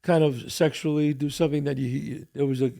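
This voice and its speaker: Older guy hosting a chat show, gravelly, blunt and emphatic, bit high-pitched. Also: steady tone, a little fast, dark tone. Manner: emphatic, blunt, swears freely. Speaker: podcast host. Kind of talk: casual chat.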